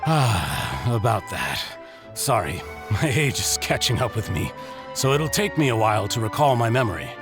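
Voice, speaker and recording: An actor playing a kindly, protective, wealthy older uncle in a video game, speaking in a courteous, measured way. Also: male voice, fairly low pitch, very animated, music in the background. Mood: apologetic, earnest.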